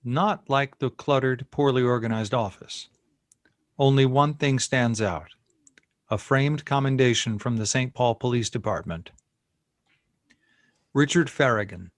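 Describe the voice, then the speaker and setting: A man's voice: mid-range voice, no room sound, studio quality. A man reading stage directions as narrator of a screenplay table read, in clipped, matter-of-fact phrases.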